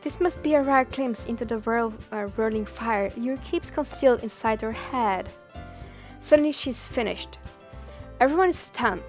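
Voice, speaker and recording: High-pitched Belgian Dutch woman streaming, music behind. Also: moderate pace. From Belgium, Dutch-speaking. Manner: giggly, expressive, stumbles over words. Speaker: live game streamer. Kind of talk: live stream chat and reading game text aloud.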